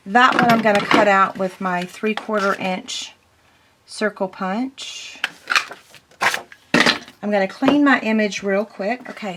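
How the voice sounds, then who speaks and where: A woman walks through a paper crafting project in a relaxed tutorial, narrating each step as she works. Her voice has gone squeaky from strain, and she fills pauses with ums. Steady background noise runs under the recording.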